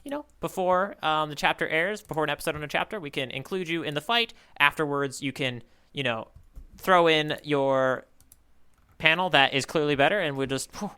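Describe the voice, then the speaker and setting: A man's voice: fairly high pitch, normal speed, sharp tone, clear, resonant tone. A man co-hosting a podcast in casual comedic chat, with a playful, mock-grand way of announcing things. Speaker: podcast co-host.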